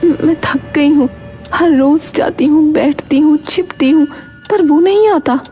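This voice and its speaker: A woman's voice: sits high, a little slow, mellow, faint music behind, voice pushed up. A woman voicing an anxious, protective mother in a cartoon story, speaking in a fretful, emphatic and dramatic way. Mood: weary. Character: anxious, scolding young mother, fiercely protective of her daughter. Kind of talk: animated cartoon story dialogue.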